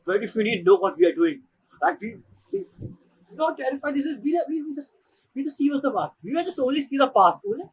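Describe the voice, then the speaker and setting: A man giving casual game reaction commentary, often repeating his phrases in an animated way. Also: extremely high pitch, fairly quick, low-quality recording. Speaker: game streamer.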